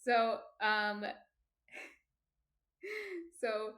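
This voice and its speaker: A soft-spoken woman in her thirties with a New Jersey accent, casually chatting and answering viewer comments on her stream. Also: fairly high pitch, sing-song, extremely slow. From New Jersey, USA.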